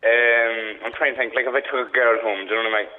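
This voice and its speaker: Brief: Irish teenage male guest, hesitant, halting answers, dull lo-fi radio sound. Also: mid-range voice, steady tone. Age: teens. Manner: hesitant, searching for words. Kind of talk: casual radio chat.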